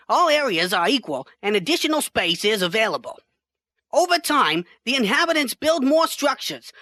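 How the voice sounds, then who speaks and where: Woman acting as male quantum scientist, radio-play expert guest, earnest lecturer, high bright voice, slightly hesitant. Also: dry recording. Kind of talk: amateur sci-fi radio play, mock news interview.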